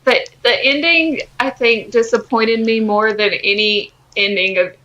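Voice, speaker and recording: A woman's voice: a little high, steady tone, a little slow, pushed and bright, highs cut off. A woman co-hosting a podcast, talking in an informal, slangy way about pop culture. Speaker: podcast co-host. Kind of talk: casual pop-culture chat.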